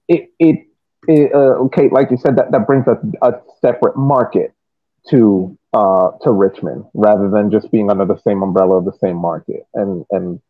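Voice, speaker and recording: An American man talking casually on a soccer podcast, reasoning aloud in a halting way and often repeating his words. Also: medium pitch, dry recording, narrow, tinny audio. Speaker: soccer podcast panelist and youth coach. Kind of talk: casual sports podcast discussion.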